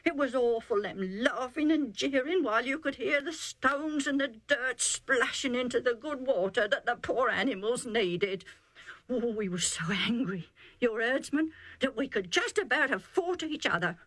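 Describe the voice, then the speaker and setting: A woman's voice: on the high side, normal speed, a bit bright, dry recording, voice pushed up. Woman doing a frantic rustic herdsman. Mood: aggrieved pleading. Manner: rustic dialect, breathless urgent pleading. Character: rough excitable male herdsman, bleeding and pleading.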